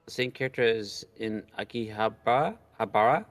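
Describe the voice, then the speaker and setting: Man, lowish voice, casual game stream co-host, needling humor, swears, faint background music. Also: brisk. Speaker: stream co-host reading the game's lines aloud. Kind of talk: casual banter and reading aloud from a visual novel. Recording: music playing behind the voice.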